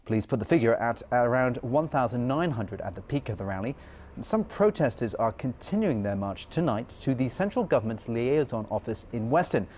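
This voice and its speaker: Man, middle-aged, news anchor, average pitch, scripted studio delivery. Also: expressive, brisk, a little mellow.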